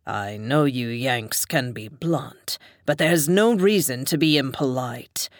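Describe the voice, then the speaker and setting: A woman voicing a stern, domineering man with an English accent, speaking in a low, scolding murmur. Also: animated, slow pace, dry recording, studio quality, exaggerated voice. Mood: reproachful.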